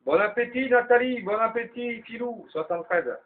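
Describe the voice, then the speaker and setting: A man's voice: very high voice, normal speed, very noisy. A man chatting casually over CB radio, thinking aloud as he passes the turn to other operators. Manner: informal, colloquial, thinking aloud. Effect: CB radio transmission. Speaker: amateur CB radio operator leading a round of calls. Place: CB radio link in FM. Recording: tinny narrow-band CB radio.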